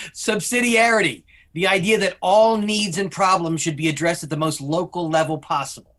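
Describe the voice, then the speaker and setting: A man's voice: high voice, moderate pace, fairly bright tone. A man playing a skeptical, wordy former banker in a scripted table read.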